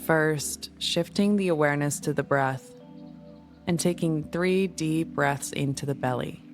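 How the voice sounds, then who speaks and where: A woman leading a guided meditation in a soothing, gentle, unhurried manner. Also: deep voice. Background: music.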